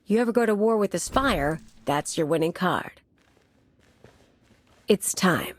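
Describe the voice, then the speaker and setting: A woman's voice: lowish voice, animated, measured pace. An actress playing a brash, cynical female anarchist bomber in a video game cutscene, talking in a clipped, tough, sardonic way. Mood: cocky, brisk.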